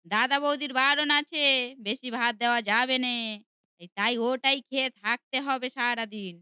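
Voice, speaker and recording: A woman's voice: high-pitched, moderate pace, sharp tone, clear, resonant tone, no echo. A woman voicing a bad-tempered young housemaid in a cartoon, scolding and grumbling. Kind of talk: cartoon dubbing.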